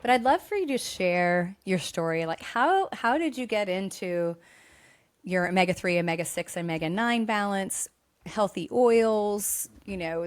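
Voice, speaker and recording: A woman hosting a podcast, opening the interview with eager, stumbling enthusiasm. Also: fairly low pitch. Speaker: podcast host.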